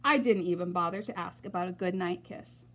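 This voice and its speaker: A woman reading a children's storybook aloud in an expressive narrating style. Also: on the low side, fairly quick, slight background noise. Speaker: storybook reader.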